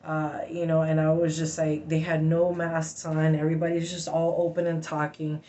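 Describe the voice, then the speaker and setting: Agitated, halting woman venting, slightly low voice. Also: monotone, warm tone. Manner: blunt, sweary, halting speech with sudden involuntary vocal tic outbursts of "wow"; agitated, emphatic. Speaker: vlogger telling a personal story. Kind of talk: casual, venting storytelling.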